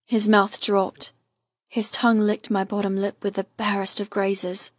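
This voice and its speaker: A woman reading a first-person romance audiobook with intense, expressive, dramatic delivery. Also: medium pitch, a little slow, no echo, dull audio. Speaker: audiobook narrator.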